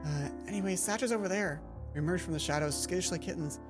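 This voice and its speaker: A woman let's play host reading a visual novel aloud in an expressive storytelling way, with casual asides. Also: on the low side, fast talker. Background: game music.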